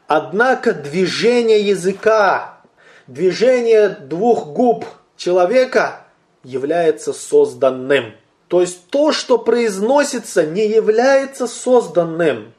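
A man teaching a religious lesson to his listeners, whom he calls brothers. His voice sits a little high for a man but sounds mellow and relaxed. He poses questions and answers them himself, stretching out the key phrases. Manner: deliberate, slows down to stress key points, asks and answers own questions.

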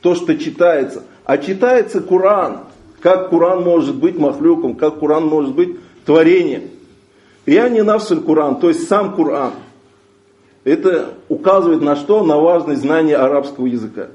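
A man's voice: on the high side, a little mellow. Man teaching a text in a roomy space. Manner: reads text aloud then explains it line by line; halting, restarts words. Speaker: religious teacher. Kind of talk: lesson explaining a classical text. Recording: roomy, slightly dull audio.

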